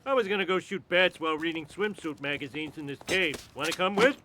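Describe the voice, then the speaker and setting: A man's voice: on the high side, fairly quick, background noise, close to an everyday voice. A man voicing a lazy, gluttonous middle-aged cartoon dad in a game cutscene, dopey and whiny. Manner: dopey, whiny and easily excited. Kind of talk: video game cutscene acting.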